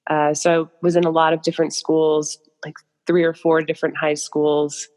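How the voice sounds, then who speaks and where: A woman's voice: sits low, level tone, moderate pace, a little mellow, in a large hall. A young adult American woman speaking as a podcast guest, telling stories casually in loose, informal phrases with many asides. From United States. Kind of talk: casual conversational storytelling.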